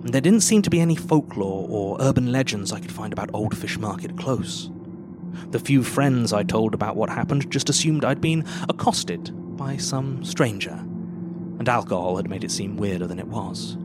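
A man acting a dry, uptight archivist in a live horror audio drama, measured and deliberate in his delivery. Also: fairly low pitch, animated, heavy background noise, close to an everyday voice. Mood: grave, haunted. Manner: measured, deliberate, with hushed line endings. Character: dry, uptight male archivist reading eerie statements, easily exasperated. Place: live theatre stage.

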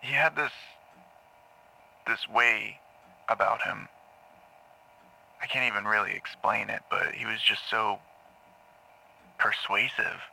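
Guy acting nervous troubled man, halting n apologetic, lowish creaky voice, audio drama. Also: bright, forceful tone, full character voice, low-quality recording. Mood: troubled, confiding. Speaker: actor playing a therapy patient.

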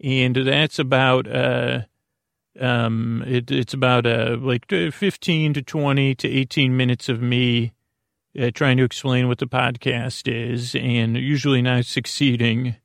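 Male sleep-podcast host, middling pitch, very creaky, lulling delivery, halting and meandering, whimsical. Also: level tone, no room sound, studio quality. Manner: meandering, tangent-prone, halting with stammered self-corrections; soothing, lulling. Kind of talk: rambling bedtime sleep podcast monologue.

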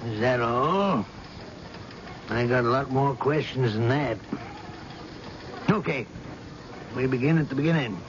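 An actor playing a gruff, sardonic police chief who presses a suspect in a radio drama. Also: male voice, medium pitch, measured pace, pauses often, lightly acted. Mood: pressing, accusing. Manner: insistent, sardonic, folksy interrogator. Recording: dull, noisy old radio recording.

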